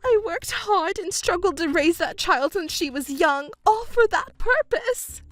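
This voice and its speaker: A woman voicing a selfish middle-aged stepmother who whines, wails and accuses in a shrill voice. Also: lively intonation, a little slow, fairly bright tone, no room sound, faint music behind, full character voice. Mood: indignant. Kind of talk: narrated drama dub.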